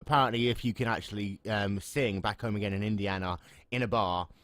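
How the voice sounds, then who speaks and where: Man, English accent, young adult, podcast co-host, crude banter, lowish voice, dry close sound. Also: steady tone, brisk, fairly bright tone, no echo. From England. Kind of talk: casual chat.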